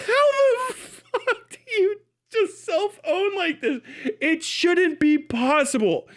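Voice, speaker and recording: A man's voice: very high-pitched, a bit bright. A man livestreaming mocking commentary on a video, casual and sweary, with incredulous exclamations.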